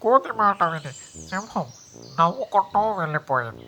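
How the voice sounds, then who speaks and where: A man's voice: sits high, very animated, normal speed, bright, forceful tone. A man narrating a story for children as its storyteller.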